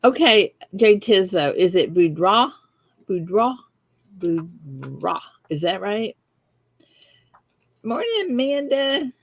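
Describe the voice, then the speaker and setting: Middle-aged woman, Texan Southern accent, chatty and giggly, unhurried, dry close sound. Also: medium pitch, very animated, gravelly, no room sound. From Texas. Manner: chatty, giggly, greets viewers by name. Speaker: host. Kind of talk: live-stream casual chat.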